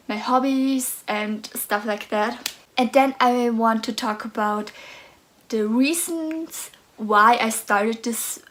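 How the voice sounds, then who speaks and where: A woman's voice: fairly high pitch, slight background noise. A woman in her twenties from Austria, with a German accent, talking nervously and hesitantly in a casual book vlog. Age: 20s. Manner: nervous, hesitant, soft-spoken. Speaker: book vlogger.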